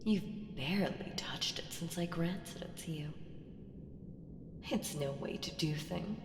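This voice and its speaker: Slow, echoing ancient evil seductress, acted by a woman. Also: fairly low pitch. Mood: condescending, menacing. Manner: teasing, drawn-out, breathy murmurs slipping into whispers. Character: ancient evil female entity, seductive, menacing, playfully condescending. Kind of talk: ASMR audio roleplay. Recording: echoing reverb with music underneath. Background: music.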